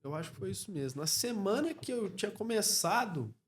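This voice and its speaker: A Brazilian man speaking as a podcast guest in a casual interview, reflective and halting, often checking in with short tag questions. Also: high-pitched, very animated, slight background noise. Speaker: podcast guest, clothing store co-founder.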